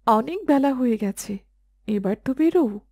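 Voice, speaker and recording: A woman's voice: fairly high pitch, expressive, unhurried, dark tone, no room sound, studio quality. A woman playing a worried, scolding village wife and mother in a horror cartoon, insistent in manner. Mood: nagging, worried. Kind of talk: Bengali horror cartoon dialogue.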